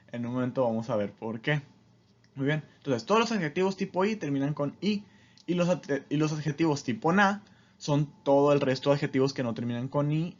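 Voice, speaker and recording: A man with a somewhat high voice teaches a language lesson in an informal, didactic way. He explains step by step and often adds short questions to check understanding. The recording is slightly dull and lo-fi. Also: a little mellow.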